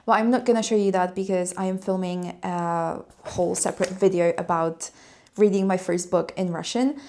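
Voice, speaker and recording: A young woman who is a native Polish speaker, chatting casually and quickly in a vlog, with frequent light laughs. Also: fairly low pitch, a little mellow. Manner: fast-talking, laughs often, upbeat. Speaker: language-learning vlogger.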